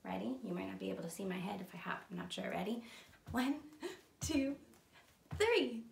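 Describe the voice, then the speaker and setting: A young woman reading a picture book aloud to small children in an animated way, pausing to put questions to her listeners. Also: medium pitch, gravelly. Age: young adult. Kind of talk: read-aloud children's storytime. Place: living room at home, indoors. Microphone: camera a few feet away. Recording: roomy, distant mic, faint room noise.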